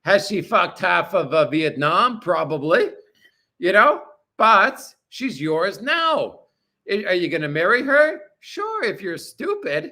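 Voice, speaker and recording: A man in his forties talks casually on a livestream from his apartment, answering viewers' comments. His voice is a little high and bright, with a forceful edge. He is crude and blunt, with plenty of swearing. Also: sing-song, slow pace. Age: 40s. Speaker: livestream host.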